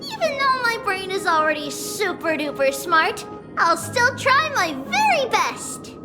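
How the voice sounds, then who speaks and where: An excitable little girl from an anime-style game, speaks in a very high, childlike voice. She is eager and boastful, and takes her time between words. Music plays clearly behind her. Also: measured pace, long reverb tail, exaggerated voice. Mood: excited, eager. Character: hyper, boastful little girl, a holy maiden.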